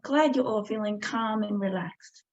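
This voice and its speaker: A woman's voice: mid-range voice, unhurried, a little mellow. A woman hosting an online event with polite, gracious thanks, speaking over a video call. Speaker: MC and host of an online Buddhist event. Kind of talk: warm hosting with live chat shout-outs. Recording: dull, lo-fi online stream audio.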